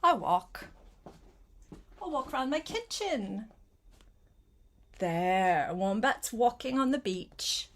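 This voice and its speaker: Older woman reading slowly to a child. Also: on the high side, big pitch swings. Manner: gentle, simple child-directed talk with questions and short phrases. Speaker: grandmother reading aloud to a child. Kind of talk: read-aloud picture book storytelling with asides to a young child.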